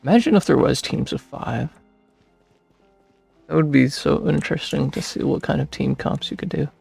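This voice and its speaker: Gravelly, husky young-sounding man gaming. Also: a little high, moderate pace, a little mellow, faint music behind. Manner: rambling, thinking aloud, self-deprecating. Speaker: streamer playing a video game. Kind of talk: casual gameplay commentary.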